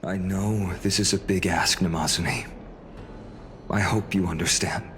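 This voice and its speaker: An actor playing a calm, noble young warrior lord in a video game, speaking in a measured, comradely way. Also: male voice, sits low, faint music behind. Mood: grave. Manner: measured, gently teasing.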